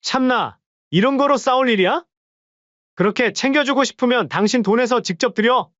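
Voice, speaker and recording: A man's voice: very high-pitched, moderate pace, a bit bright, no room sound, lo-fi sound. A man playing an irritated, dismissive young husband in a Korean audio drama. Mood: scornful.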